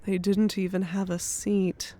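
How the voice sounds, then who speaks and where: Woman acting a warrior goddess, audiobook character, medium pitch, proud, blunt, haughty. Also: flat delivery, a little slow, mellow, lightly acted. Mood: irritated.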